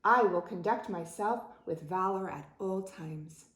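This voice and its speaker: A woman's voice: mid-range voice, a little slow, a bit bright, reverberant, lightly acted. A woman voicing a prim, over-proper male rascal with formal, precise wording, in a storybook reading. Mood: self-assured.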